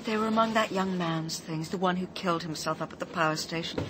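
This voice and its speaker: An actress playing a composed, tart British village housekeeper in a radio mystery, crisp, precise and dryly indignant under police questioning. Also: female voice, lowish voice, quick pace, background noise, close to an everyday voice. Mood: matter-of-fact.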